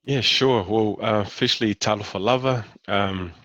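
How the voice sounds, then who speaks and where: A man's voice: on the low side, measured pace, a bit bright, no echo, faint music behind. A man in his fifties with a New Zealand accent, talking about himself in a casual interview, hesitant with many fillers and restarts. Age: 50s. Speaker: interview guest.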